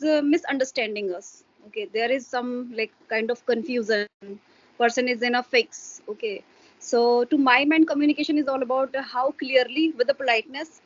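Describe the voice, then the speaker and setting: A woman's voice: on the high side, fairly even intonation, moderate pace, lo-fi sound. A woman, a Hindi speaker, explaining her views in an interview with a hesitant manner and many fillers. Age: adult parent. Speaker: interview guest. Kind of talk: casual explanatory interview talk.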